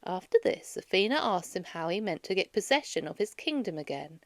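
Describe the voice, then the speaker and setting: A woman reading a children's story aloud in an expressive storyteller's way, dramatizing as she goes. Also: fairly low pitch, fairly quick, no room sound. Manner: animated, dramatizes dialogue.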